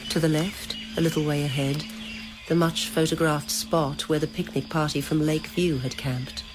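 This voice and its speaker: Low-pitched woman narrating a story. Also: steady tone, normal speed, background noise. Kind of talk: dramatic literary story narration.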